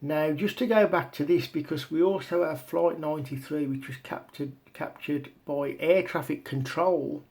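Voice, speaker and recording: A man giving informal explanatory commentary as a video presenter, talking in a halting, step-by-step way. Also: a little high, creaky, a little mellow. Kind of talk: informal explanatory commentary over on-screen maps and documents.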